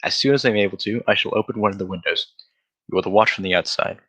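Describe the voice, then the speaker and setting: An actor playing a brisk, self-assured gentleman detective in an old-style radio drama, with precise, formal diction. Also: lowish voice. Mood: brisk, commanding. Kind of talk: 1940s radio drama acting.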